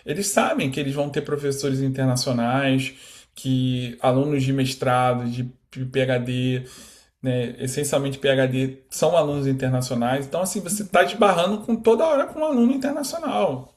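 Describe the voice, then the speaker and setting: A Brazilian man in his thirties from Rio, a guest talking casually in an interview, animated and full of fillers. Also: medium pitch, normal speed. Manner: animated, quotes imagined speech, many fillers.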